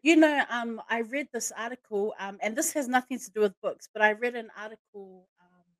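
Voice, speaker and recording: A woman's voice: a little high, brisk, no echo. A woman hosting a casual interview podcast, enthusiastic and speaking to her guest in a familiar, sisterly way. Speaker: podcast host.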